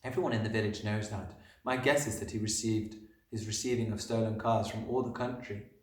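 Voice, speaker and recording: A man voicing a calm, kindly woman teacher from a storybook in a quiet, measured way. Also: on the low side, close to an everyday voice. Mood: calm, frank. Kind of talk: storybook character voice. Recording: roomy, distant microphone.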